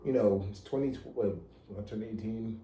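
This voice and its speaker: A man's voice: lowish voice, moderate pace, mellow, reverberant, dull audio, slight background noise. An American man in his thirties chatting casually as a podcast co-host, blunt and slangy with some swearing. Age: 30s. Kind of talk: casual chat.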